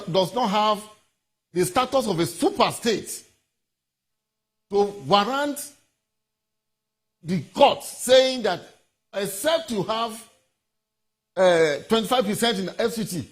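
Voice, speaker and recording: A Nigerian man with a high, rough-edged voice speaks as a legal analyst in an interview. He is deliberate and emphatic, often stopping and repeating words as he lays out his points. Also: expressive. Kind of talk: formal legal commentary in a TV interview.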